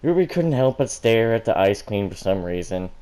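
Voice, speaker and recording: A man's voice: lowish voice, sing-song, normal speed, dark tone. A man reading fan fiction aloud as amateur storybook narration, stumbling and correcting himself as he goes. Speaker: fan fiction reader for a gaming channel.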